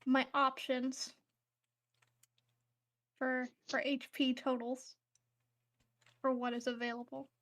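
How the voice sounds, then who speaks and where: A woman's voice: sits high, little pitch movement, slow pace, long pauses, a bit bright. A woman playing a tabletop fantasy game, chatting casually and thinking aloud.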